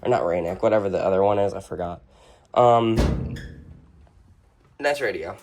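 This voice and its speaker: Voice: deep voice, moderate pace, a little mellow, faint music behind. A person casually showing off a radio collection unscripted, giving short, blunt verdicts in an offhand way. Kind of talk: casual unscripted show-and-tell. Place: indoors at home, among shelves and tables of radios.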